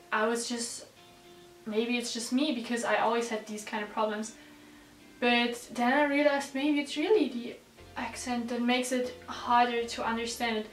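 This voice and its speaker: A teenage woman from South Tyrol, a native German speaker with a South Tyrolean accent, chatting casually to her viewers as a vlogger. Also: a little high. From South Tyrol, Italy. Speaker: vlogger, exchange student. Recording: roomy echo, distant mic, background music.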